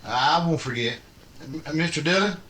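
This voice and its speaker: A man's voice: fairly high pitch, expressive, normal speed, fairly bright tone, a little hiss or hum. A man playing a loyal, deferential but timid deputy in a radio western, hesitant and stammering. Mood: scared, earnest. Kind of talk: old-time radio western acting.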